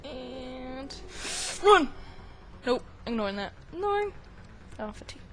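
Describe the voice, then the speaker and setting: A woman's voice: sits high, big pitch swings, measured pace, a bit bright. A woman doing casual, rambling gameplay commentary in a playful, giggly way as the host of a gaming video. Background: game sound.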